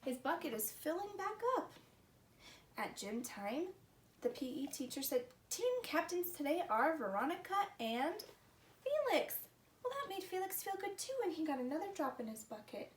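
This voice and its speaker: A woman hosting a story time for young children, talking in simple, animated, exclaiming phrases. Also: high-pitched, moderate pace. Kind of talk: expressive read-aloud to preschool children. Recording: roomy echo, distant mic, background noise.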